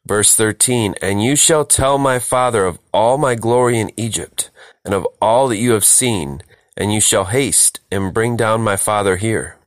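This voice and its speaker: A man's voice: lowish voice, a little slow, no echo. A man leading a verse-by-verse Bible study, reading scripture and explaining it emphatically, repeating phrases for stress. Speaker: Bible teacher, host.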